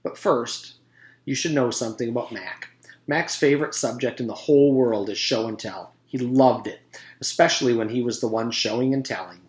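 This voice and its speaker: A man's voice: mid-range voice, normal speed, reverberant. A man narrating a children's storybook aloud as a teacher would for pupils.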